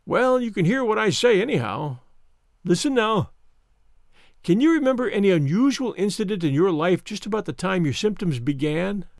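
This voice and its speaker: Man putting on a gloating high-pitched villain. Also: expressive, normal speed, vocal fry, no room sound, clean studio sound. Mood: cruel, taunting. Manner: taunting, insistent, mock-concerned. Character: gloating, sneering, cruel villain, a smug man. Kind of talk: dramatized audiobook reading.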